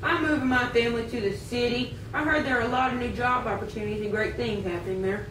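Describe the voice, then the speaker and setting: Lady as chatty working guy in a puppet show, kinda high, far from the mic. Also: steady tone, quick pace, close to an everyday voice. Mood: cheerful, hopeful. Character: upbeat, talkative working man, newcomer to the city. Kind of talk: amateur puppet show dialogue. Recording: echoey room, distant mic, faint noise.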